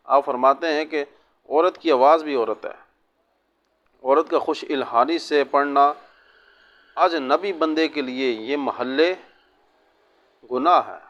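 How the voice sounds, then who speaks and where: Male cleric delivering religious rulings, medium pitch, instructive and measured, on a dull lo-fi recording. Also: fairly bright tone. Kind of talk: religious sermon answering a question on Islamic rulings.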